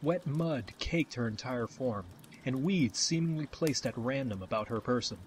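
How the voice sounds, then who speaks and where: Man narrating fantasy, medium pitch. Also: animated, moderate pace, a little hiss or hum. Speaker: narrator, game-style storyteller.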